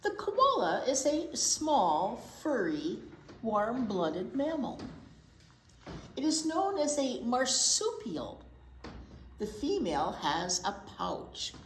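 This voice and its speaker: Lively woman reading aloud to children. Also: on the high side, slow pace. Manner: conversational, simple explanations for young children. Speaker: children's storytime host, librarian. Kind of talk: picture book read-aloud with chatty asides to children. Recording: echoey room with faint background noise.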